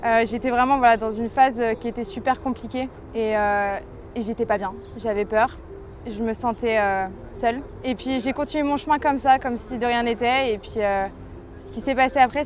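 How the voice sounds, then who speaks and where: A woman's voice: a little high, a little hiss or hum. Young woman, earnest personal testimony. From France. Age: 20s. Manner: earnest, hesitant, reflective storytelling. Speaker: person giving a faith testimony. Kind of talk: personal testimony, told to camera.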